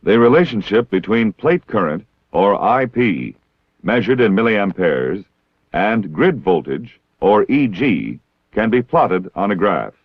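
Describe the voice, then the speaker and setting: A low-voiced American man delivers formal instructional narration with lively rises and falls in pitch. His enunciation is measured and precise, and the sound is that of a dull, dated 1960s film soundtrack. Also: no room sound.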